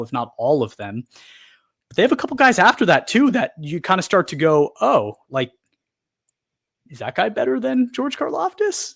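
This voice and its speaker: A man co-hosting a football podcast in casual chat, emphatic and animated, piling on rhetorical questions. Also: medium pitch. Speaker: podcast co-host, football analyst.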